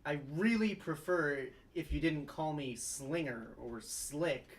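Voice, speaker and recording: A man's voice: on the high side, lively intonation, slow, far from the mic. A man playing a polite, earnest, somewhat hesitant young lawyer in a sitcom table read.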